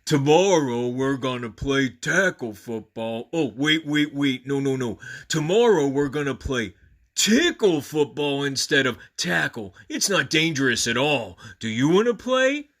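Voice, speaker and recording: Man doing a bright, hearty monster pal. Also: medium pitch, animated, unhurried. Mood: eager, friendly. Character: friendly boy monster, eager playmate.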